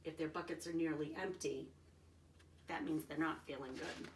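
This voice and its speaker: A woman's voice: sits low, fairly even intonation, moderate pace, background noise. A woman reading a children's picture book aloud expressively, adding simple explanations and checking in with her young listeners. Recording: roomy echo, distant from the mic.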